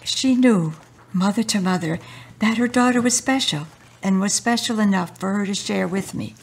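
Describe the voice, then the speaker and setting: A middle-aged woman playing a tender, consoling mother in a radio drama, with a husky voice. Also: mid-range voice, moderate pace. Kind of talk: amateur radio drama acting.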